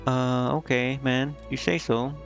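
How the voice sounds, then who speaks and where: A man's voice: mid-range voice, steady tone, unhurried, creaky, highs cut off. A man giving casual gameplay commentary, indecisive and muttering to himself as he streams. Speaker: game streamer. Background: game music.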